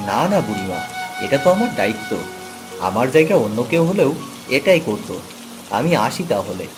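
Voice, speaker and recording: Man playing a animated, good-hearted poor villager. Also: mid-range voice, normal speed, lightly acted. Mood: respectful. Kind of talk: Bengali moral-story cartoon dub. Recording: loud music and rain behind.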